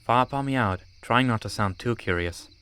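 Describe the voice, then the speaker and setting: A man's voice: medium pitch, moderate pace, a bit bright, smooth. A man narrating an audiobook story from a script.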